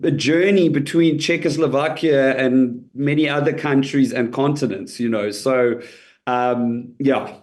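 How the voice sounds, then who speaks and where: A man's voice: mid-range voice, measured pace, a little mellow. A man guesting on an interview as a comedian, telling his story in a chatty way, laughing easily and restarting his sentences often.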